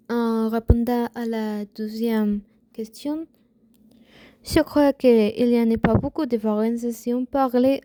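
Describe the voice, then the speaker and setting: A young woman with a Spanish accent, a native Spanish speaker, slowly and hesitantly giving a prepared spoken answer for a language assignment, with pauses and self-corrections. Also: fairly high pitch, warm tone, no room sound, studio quality. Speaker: language student.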